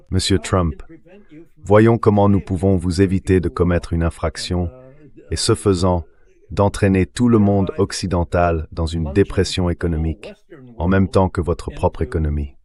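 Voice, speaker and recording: A man's synthetic AI voice-over giving measured, formal political commentary as part of a dubbed interview. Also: sits low, steady tone, darkish tone.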